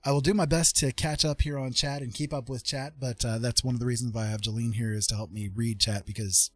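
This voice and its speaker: A man's voice: medium pitch, steady tone, fast talker, crowd noise. A man hosting a livestream in casual chat with viewers, effusive with his thanks. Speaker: livestream host. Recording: music playing behind the voice. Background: music.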